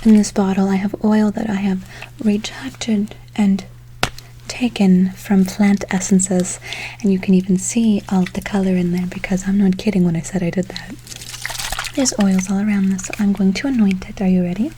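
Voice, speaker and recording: A woman playing a gentle, doting witch in a whispered ASMR role play, speaking affectionately and unhurriedly, often repeating herself. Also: medium pitch, steady tone, moderate pace, a little hiss or hum, natural voice, rough recording. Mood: soothing, unhurried. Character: gentle, doting female witch casting good-luck spells. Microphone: close ASMR microphone.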